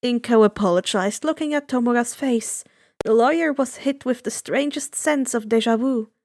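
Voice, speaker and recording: A woman's voice: on the high side, normal speed, a little mellow. A woman reading fan fiction aloud as scripted story narration.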